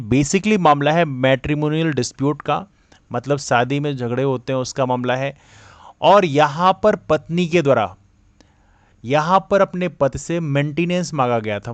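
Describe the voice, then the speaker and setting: Slightly high-pitched man teaching. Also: no room sound. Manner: didactic, code-switches between Hindi and English. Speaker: exam coaching teacher and presenter. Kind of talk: explanatory lecture on current affairs.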